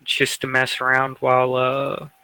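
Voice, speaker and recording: A man's voice: medium pitch, monotone, a little slow, pushed and bright, clean tone, no room sound. A man chatting casually as a co-host on a gaming podcast.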